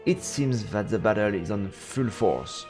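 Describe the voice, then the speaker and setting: A man's voice: lowish voice, lively intonation, a little slow, a little mellow. A man narrating a fantasy visual novel aloud as a streamer, in a dramatic storybook style. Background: faint game music.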